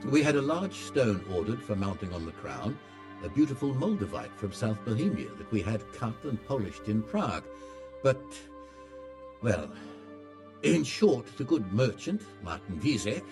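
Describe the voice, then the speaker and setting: Guy voicing a proud medieval craftsman in a game, very animated, hedging excuses, music underneath. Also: mid-range voice, natural voice. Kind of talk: video game cutscene dialogue.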